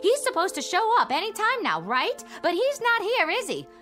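Very high-pitched, brassy crook's wife. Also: female voice, wildly swooping pitch, normal speed, a bit bright, faint music behind, heavily acted. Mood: scornful, needling. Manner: slangy, needling, brassy. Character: brassy crook's wife Paula, sharp-tongued, scornful, easily frightened. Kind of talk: radio drama acting.

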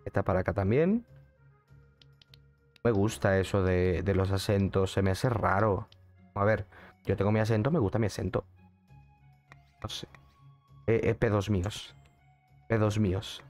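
A man's voice: on the low side, fairly even intonation, unhurried, pauses often, darkish tone, soft background music. A young man with a Mexican accent, streaming a game and chatting in a casual, jokey way while answering his chat. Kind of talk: casual gaming commentary.

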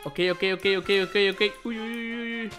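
A man with a bright, forceful voice commentates on a game as its host. He is playful and exclamatory, often repeating words in quick bursts. Music plays behind him. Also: very high-pitched, fairly even intonation. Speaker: host of a gaming video channel. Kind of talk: excited gameplay roleplay commentary.

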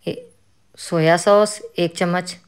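A woman with a Pakistani Urdu accent, calmly explaining a recipe step by step as a cooking host. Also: lowish voice, slow, clear, resonant tone. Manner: calm, instructive, with religious greetings. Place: home kitchen.

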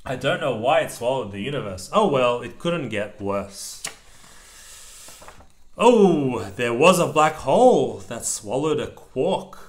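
Male parent, medium pitch, storybook read-aloud for a young child with simple asides. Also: big pitch swings, takes it slowly. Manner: simple explanations and pointing out pictures for a small child. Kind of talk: read-aloud of a children's picture book, with short explanatory asides. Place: indoors at a table over the open book.

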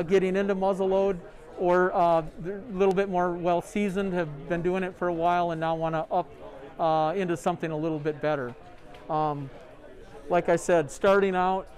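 A middle-aged man, a sales rep, showing products in a large store, talking in a halting way full of ums and uhs. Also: high-pitched, a little mellow. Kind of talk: product demo. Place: large sporting goods store, indoors.

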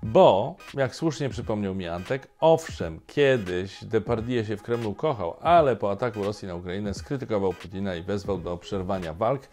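A man's voice: on the low side, expressive, moderate pace, few pauses, a little mellow, soft background music. A middle-aged man hosting a satirical news show, delivering scripted commentary with ironic, emphatic asides from a home study.

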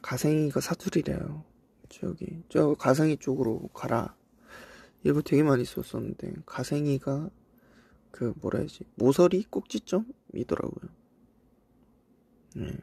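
A young man hosts a voice-only livestream, chatting and reminiscing with a faint Cheongju accent. His voice is mellow and gravelly, with a husky edge, recorded dry and close. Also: on the high side, measured pace, long pauses, no room sound. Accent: Cheongju dialect traces in Korean. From South Korea. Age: young adult. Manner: relaxed, reminiscing, soft asides. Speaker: idol livestream host. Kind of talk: casual livestream chat reading comments. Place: indoors, voice-only stream.

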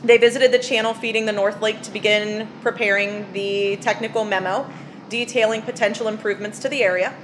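Woman mayor chairing a council meeting, brisk and casual, mid pitch. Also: steady tone, a little hiss or hum, rough recording. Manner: brisk, casual, many fillers. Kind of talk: running a meeting agenda.

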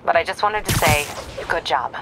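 Actress as lady police captain in a game, lowish voice, dry and matter of fact, rumbly noise behind. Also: normal speed, natural voice. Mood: dry, supportive. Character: dry, businesslike female police captain, quietly supportive. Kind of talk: video game voice acting.

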